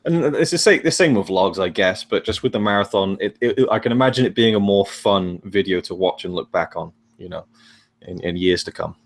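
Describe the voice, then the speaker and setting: A man's voice: sits low, a little fast. A young man talking as a guest on a casual live stream chat, hesitant and often repeating words as he starts sentences.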